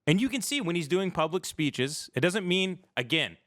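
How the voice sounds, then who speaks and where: A man's voice: sits high, sing-song, normal speed, a bit bright, no echo, studio quality. A man hosting a livestream, ranting about politics in a blunt, sardonic, casual way with plenty of swearing. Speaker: livestream host. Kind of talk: opinionated casual political rant.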